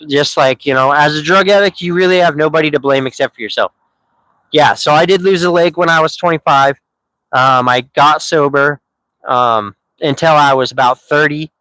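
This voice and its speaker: A man's voice: on the high side, bright, forceful tone, no echo, low-quality recording. A man in his thirties telling his own story on a live stream, candid and rambling, with many fillers and restarts. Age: 30s.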